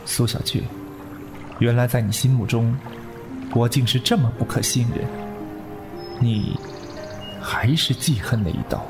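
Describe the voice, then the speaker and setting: Man acting a proud, devoted martial artist, mid-pitched. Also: measured pace, long pauses, music in the background, natural voice. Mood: restrained hurt. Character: proud, devoted young martial-arts man, quietly intense. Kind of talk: Chinese wuxia audio drama acting.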